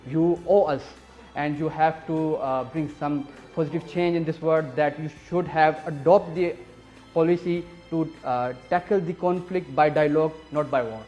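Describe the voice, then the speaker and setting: An emphatic man with a somewhat high-pitched voice leads an interview as director of a peace group. He talks earnestly, stacking up lists in a steady rhythm while soft music plays underneath. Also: moderate pace, dull audio. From Pakistan. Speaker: executive director of a peace organization. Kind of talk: advocacy interview speech.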